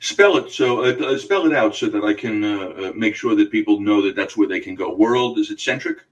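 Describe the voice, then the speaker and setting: A man with a New York accent hosting a casual radio interview, halting with many fillers and restarts. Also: lowish voice, far from the mic, dull audio. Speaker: radio host.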